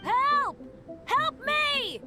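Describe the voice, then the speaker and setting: Earnest, high-voiced teenage boy adventurer. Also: lively intonation, very slow, loud music behind the voice, full character voice. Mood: desperate. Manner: earnest, apologetic, eager. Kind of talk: animated video game voice acting.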